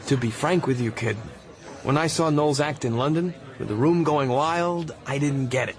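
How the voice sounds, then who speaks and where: An actor playing a frank, worldly showbiz mentor with an American accent in a radio drama. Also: male voice, on the high side, moderate pace, natural voice. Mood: streetwise, advising. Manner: frank, streetwise, advising. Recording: busy crowd noise behind.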